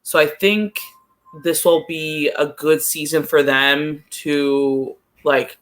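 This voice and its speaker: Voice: mid-range voice, steady tone, takes it slowly, a bit bright. A person chatting casually about soccer as a podcast analyst, thinking aloud and leaning often on the word "like". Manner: hesitant, thinking aloud, frequent use of "like". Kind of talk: casual chat, soccer commentary.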